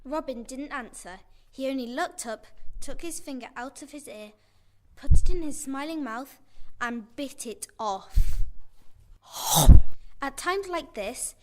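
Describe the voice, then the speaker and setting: Young girl, radio play storyteller, fairly high voice, scripted narration, faint noise. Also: animated, unhurried. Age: child or young performer.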